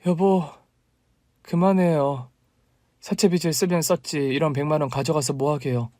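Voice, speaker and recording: A man's voice: fairly high pitch, steady tone, moderate pace, a little mellow, no echo, studio quality, voice pushed up, lightly acted. A man putting on a hurt, proud wife. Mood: indignant. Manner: pleading then indignant, emotional. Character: proud, hurt middle-aged wife, woman.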